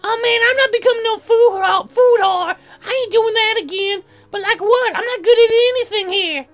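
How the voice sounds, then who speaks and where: Squeaky, whiny, dim young woman. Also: normal speed, soft background music. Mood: dim protesting. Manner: childish mangled slangy grammar, whining. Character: whiny, dim, food-obsessed young female colonist neighbor. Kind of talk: game character voiced in a let's play.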